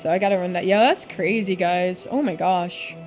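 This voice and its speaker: A woman's voice: lowish voice, moderate pace, soft background music. A woman game streamer chatting casually to her live audience, sweary, incredulous and sympathetic.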